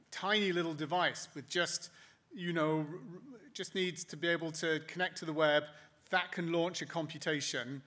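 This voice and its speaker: A man giving a keynote at a technology conference, walking through slides in a halting way with many restarts and repeated words. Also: fairly high pitch, bright, forceful tone. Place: conference hall, voice over screen capture.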